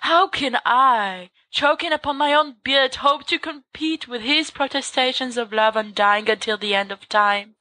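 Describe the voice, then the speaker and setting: A teenage schoolboy prankster delivers lines with put-on solemnity and grandeur, as a woman reader voices him. The tone is bright, close and dry. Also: sits high, a little slow, few pauses, no echo, voice pushed up, rough recording. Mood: mock-grave. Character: teenage schoolboy prankster, mock-grave and pompous.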